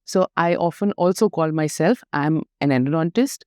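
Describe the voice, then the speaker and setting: Low-voiced woman from Nepal, reflective storyteller. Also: normal speed. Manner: warm, reflective storytelling with frequent fillers and restarts. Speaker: guest, dental specialist. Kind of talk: conversational podcast interview.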